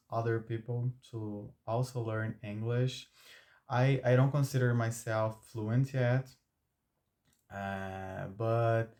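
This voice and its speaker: Slow-speaking Brazilian man, low voice. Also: a little mellow. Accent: Brazilian Portuguese. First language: Portuguese. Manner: hesitant, many fillers and restarts. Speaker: YouTube channel host. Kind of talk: unscripted talk to camera.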